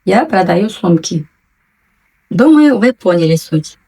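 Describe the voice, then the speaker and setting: A woman teaching a language lesson, patiently and clearly enunciating, repeating each new word twice. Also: fairly low pitch, very animated, slow pace, dark tone. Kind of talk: slow, careful language lesson.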